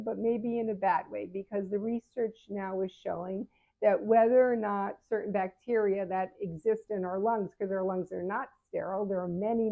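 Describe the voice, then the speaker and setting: A seventy-year-old woman giving frank, conversational advice to her viewers as a patient advocate, often correcting herself mid-sentence. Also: medium pitch. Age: 70s. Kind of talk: casual advice talk to camera. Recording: phone-like narrow sound.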